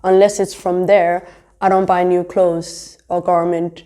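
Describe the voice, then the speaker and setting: Mellow, dark-voiced young woman from Denmark. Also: lowish voice, flat delivery, measured pace. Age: young adult. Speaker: interviewee, fashion designer and entrepreneur. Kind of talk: casual interview talk.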